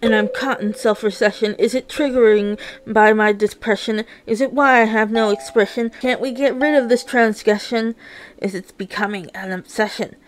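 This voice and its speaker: Female story narrator, slightly high voice, emotive and theatrical first-person read-along. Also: fairly even intonation, moderate pace, poor audio.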